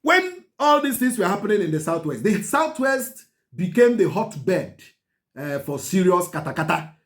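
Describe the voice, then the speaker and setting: Emphatic high-voiced Nigerian man. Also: big pitch swings, normal speed. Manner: emphatic, repeats key points, urges listeners to pay attention; mixes Igbo phrases into English. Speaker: channel host. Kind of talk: history commentary to camera.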